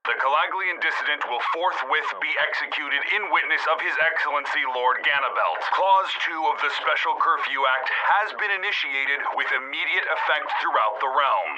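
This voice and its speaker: A stern regime announcer with a very sharp, forceful voice set slightly high. He reads formal orders in a video game with cold command, through a loudspeaker filter. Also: muffled recording, slight background noise. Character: stern male authoritarian state announcer reading decrees.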